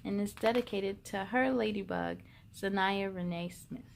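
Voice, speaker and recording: A woman hosting a story time for children, reading and chatting in an animated, playful way. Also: mid-range voice, moderate pace, gravelly, a little hiss or hum. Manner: animated, exclamatory, playful with sound effects. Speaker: story time host reading picture books. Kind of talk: children's read-aloud storytelling.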